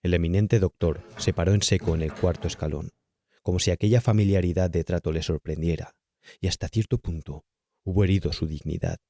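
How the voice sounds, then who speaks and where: Deep, mellow Castilian man narrating. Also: steady tone, brisk, dry recording. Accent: Castilian Spanish. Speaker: audiobook narrator. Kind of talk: scripted literary narration of a horror tale. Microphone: close, dry studio-style microphone.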